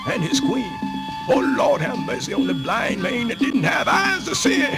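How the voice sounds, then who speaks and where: A man telling a folktale for radio theatre in a folksy, exclamatory, drawn-out storytelling manner. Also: very high-pitched, creaky. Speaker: storyteller narrator. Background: music.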